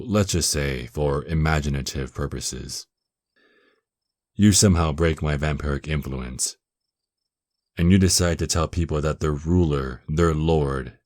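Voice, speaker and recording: A man performs an ancient vampire lord in an ASMR roleplay, his voice extremely deep and recorded close and dry. He takes his time over each phrase, condescending and teasing. The temper is dominant, smug and seductively menacing. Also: dry recording.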